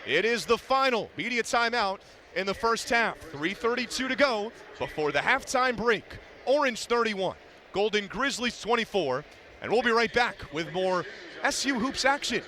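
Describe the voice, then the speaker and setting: High-voiced man calling live sports. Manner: energetic, clipped rapid-fire descriptions. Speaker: play-by-play announcer. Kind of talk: live radio sports commentary. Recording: live arena broadcast with clear background noise.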